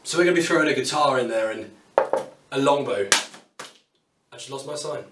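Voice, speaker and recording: A man's voice: mid-range voice, a little fast, distant mic, very noisy. A man in his thirties with a British accent, chatting upbeat and self-deprecating as he walks through his woodworking tools. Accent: British English. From United Kingdom. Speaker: woodworking YouTuber. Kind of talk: casual tool walkthrough to camera. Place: small home workshop, indoors. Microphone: camera mic at a distance. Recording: roomy workshop sound, voice off-mic.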